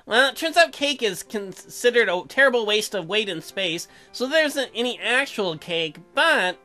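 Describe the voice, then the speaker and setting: A woman's voice: fairly high pitch, lively intonation, sharp tone. A woman voicing an earnest, apologetic young newlywed husband who is sweet on his wife, reading visual novel dialogue. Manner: earnest, halting, self-deprecating. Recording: music behind the voice.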